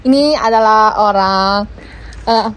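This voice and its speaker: A woman's voice: mid-range voice, measured pace, slight background noise. A young woman with an Indonesian accent, chatting casually as a travel vlogger. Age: young adult. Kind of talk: casual chat to camera and with people met on the road.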